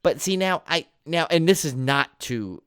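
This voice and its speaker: A man's voice: a little high, lively intonation, a little slow, a bit bright, clear, resonant tone, no echo, studio quality. A man with a New York accent co-hosting a podcast in casual chat, rambling and laughing often. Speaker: podcast co-host.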